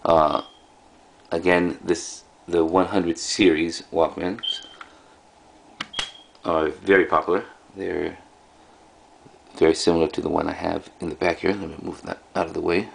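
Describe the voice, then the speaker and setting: Deep, gravelly man with halting delivery. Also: flat delivery. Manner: halting, offhand delivery in short phrases. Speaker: video host, collector. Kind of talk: casual product showcase. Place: indoors in a room at home. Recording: clearly noisy home recording.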